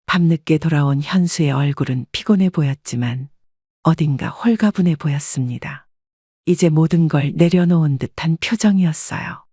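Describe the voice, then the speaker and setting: A woman reading a scripted first-person story as an audiobook narrator, in a measured, polite storytelling manner. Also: low-pitched, dark tone, husky, dry recording, clean studio sound.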